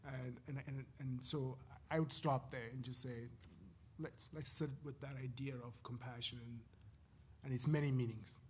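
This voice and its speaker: Man with a mid-range voice, a reflective, halting panelist who restarts his phrases. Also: medium pitch, steady tone, darkish tone, slight background noise. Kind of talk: panel discussion talk. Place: university panel event.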